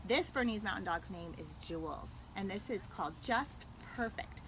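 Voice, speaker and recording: An American woman, a school librarian, reading a picture book aloud to young children in an expressive way. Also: medium pitch, moderate pace. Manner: animated, expressive delivery aimed at young children. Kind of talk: read-aloud storytelling for children. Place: at home. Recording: noisy home video with background sounds.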